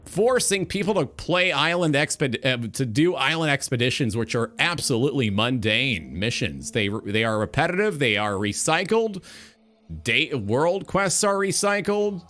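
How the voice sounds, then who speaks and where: Bright-voiced, blunt man hosting a talk show. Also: medium pitch, big pitch swings, unhurried. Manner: emphatic, blunt, sweary. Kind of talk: opinionated gaming talk show monologue.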